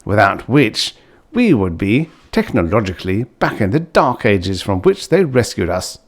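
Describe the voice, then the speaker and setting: A man acts out a naive, priggish young man from a science fiction storybook. He speaks stiffly and earnestly in swooping, emphatic phrases, turning indignant and halting for the right word. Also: fairly low pitch, big pitch swings. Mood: earnest, tight.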